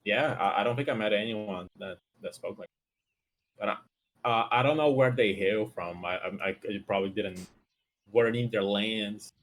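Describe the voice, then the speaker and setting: A man's voice: on the low side, moderate pace, fairly bright tone. A man from Brazil whose first language is Portuguese, chatting casually on a stream. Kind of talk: casual chat on a livestream.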